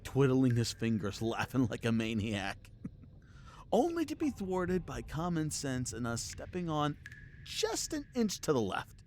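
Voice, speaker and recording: Man acting a proud superhero, animated delivery. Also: medium pitch, measured pace, slight background noise. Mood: sarcastic, wary. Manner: earnest, emphatic, sardonic. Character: defiant male superhero, proud, sarcastic, fiercely loyal. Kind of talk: scripted audio roleplay acting.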